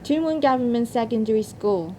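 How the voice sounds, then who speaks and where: A woman's voice: fairly high pitch, animated, fairly quick, heavy background noise. A woman presenting awards from a script as ceremony host.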